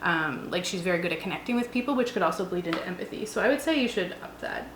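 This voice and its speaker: A woman's voice: lowish voice, animated, fast talker. A woman co-hosting a tabletop roleplaying show in loose, casual conversation. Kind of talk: casual chat. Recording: roomy echo, faint background noise.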